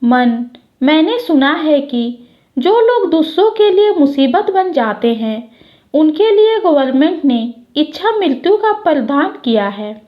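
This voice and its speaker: A woman voicing a melancholy, ailing older sister in a dramatic story, with a resigned, soft-hearted manner. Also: high voice, big pitch swings, measured pace, highs cut off. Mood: weary, despairing.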